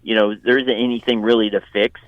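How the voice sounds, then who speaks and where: A man giving his take as a guest journalist in an unscripted sports radio interview, conversational and halting, restarting phrases as he goes. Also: lowish voice, flat delivery, no echo, muffled recording.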